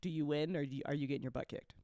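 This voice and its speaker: A woman's voice: low voice, fairly even intonation, racing, mellow, no room sound. A woman hosting a casual group chat, playful and informal, often giggly as she puts questions to people. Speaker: host putting questions to a cast.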